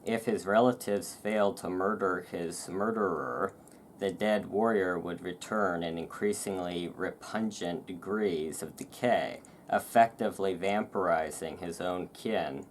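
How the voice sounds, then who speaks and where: A host reading aloud in a relaxed ASMR style, with informal, self-deprecating asides and rain and thunder behind. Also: low-pitched, measured pace. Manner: informal, self-deprecating, stumbles over foreign words. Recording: clear background noise of rain and thunderstorm. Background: rain and thunderstorm ambience.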